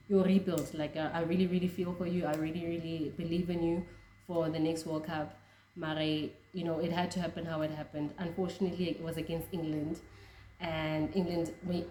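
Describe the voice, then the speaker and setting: Chatty South African woman, low warm voice. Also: echoey room, slight background noise, rough recording. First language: Tswana. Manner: excitable, chatty, laughs often, many restarts and fillers. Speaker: rugby fan vlogger. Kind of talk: casual reaction chat.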